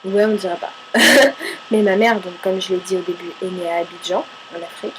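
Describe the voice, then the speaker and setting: A woman's voice: on the low side, level tone, a little fast, darkish tone, a little hiss or hum, rough recording. A woman from Paris chatting casually to camera as she answers viewer questions, chatty and self-mocking, swearing casually and arguing back and forth with herself. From Paris, France. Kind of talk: casual FAQ chat to camera.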